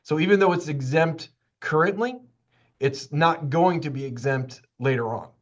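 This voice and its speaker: A man explains legal matters in a relaxed, conversational way, retelling his talks with clients. The audio is somewhat muffled and lo-fi, with the high end rolled off. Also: normal speed. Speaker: attorney. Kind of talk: explanatory webinar presentation. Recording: slightly dull, lo-fi audio.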